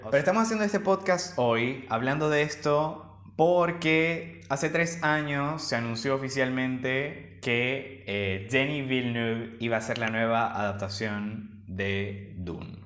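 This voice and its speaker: A man's voice: mid-range voice, a bit bright. A man from Venezuela co-hosting a podcast in casual chat, enthusiastic and rambling, often restarting his sentences. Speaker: podcast co-host. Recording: roomy echo.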